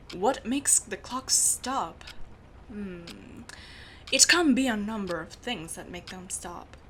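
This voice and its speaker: A woman playing a meticulous, secretive steampunk clock repairer in an audio roleplay, explaining things patiently and in a measured way to the listener. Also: mid-range voice, a bit bright, slight background noise. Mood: calm explaining. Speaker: amateur voice actor.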